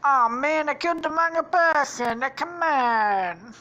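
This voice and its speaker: A woman's voice: sits high, takes it slowly, bright, forceful tone, highs cut off, pitched above the performer's own voice, heavily acted. A woman voicing a resentful, villainous cartoon plumber brother, exclamatory and profane. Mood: exasperated.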